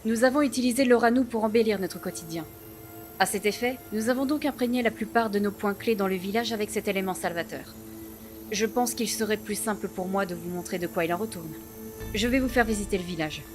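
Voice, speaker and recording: A woman playing a solemn, low-voiced clan chief. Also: close to an everyday voice. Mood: stately, explaining. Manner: formal, measured, ceremonious phrasing. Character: proud, solemn female clan chief of a primitive village. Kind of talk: scripted fantasy audio drama acting. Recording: voice under clear background music.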